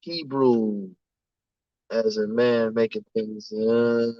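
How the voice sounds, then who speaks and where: A man's voice: lowish voice, fairly even intonation, drawn-out words, dry recording, highs cut off. A man hosting a casual livestream, reading out names from the chat and often tagging his lines with "you know what I'm saying". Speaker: livestream host.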